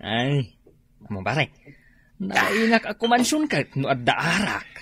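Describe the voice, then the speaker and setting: A man's voice: on the high side, very animated, unhurried, gravelly, pushed and bright, slightly rough, exaggerated voice. A man with an Ilocano accent playing a groggy, hungover drinking buddy in a comedy radio drama, whiny and hesitant. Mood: easygoing. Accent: Ilocano, northern Philippines.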